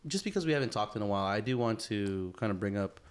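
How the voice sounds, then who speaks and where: A man's voice: fairly low pitch, expressive, fast. A man co-hosting a podcast in casual chat, rambling earnestly through his questions with many restarts. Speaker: podcast co-host.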